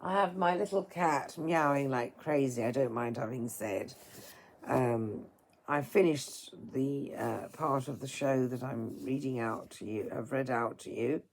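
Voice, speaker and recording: A tired woman with a hoarse, dry throat, speaking to listeners in a halting, politely formal way as a storyteller. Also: a bass voice. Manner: halting, apologetic, formal wording. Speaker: storyteller reading aloud and singing. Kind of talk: informal apologetic talk to listeners.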